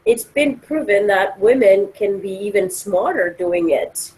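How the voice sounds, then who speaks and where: A woman's voice: mid-range voice, lively intonation, measured pace, a little mellow, rough recording. A woman speaking emphatically and argumentatively as she gives her views in a casual discussion. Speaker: discussion participant.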